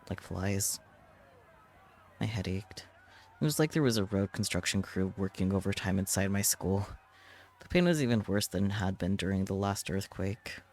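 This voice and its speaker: A man reading a visual novel aloud as dramatic narration. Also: low-pitched. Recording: clear background noise.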